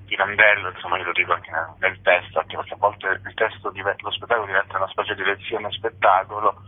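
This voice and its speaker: A man with a deep, husky voice talks as an interview guest. He explains in an informal, slightly hesitant way. The sound is a narrow telephone feed on radio. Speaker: interview guest, actor and writer. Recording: narrow phone line on radio.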